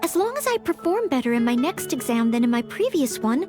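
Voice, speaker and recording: A woman's voice: sits high, sing-song, a little fast, music in the background. A woman playing a humble, polite young maid who dreams of becoming a knight, in a video game. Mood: sincere, resolved. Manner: polite, eager, sincere.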